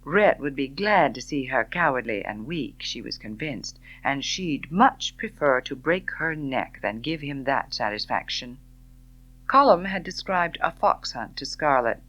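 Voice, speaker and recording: A woman reading a novel as an audiobook, narrating in an expressive, theatrical way. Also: extremely low pitch, a little slow, dull audio. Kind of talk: scripted dramatic novel narration.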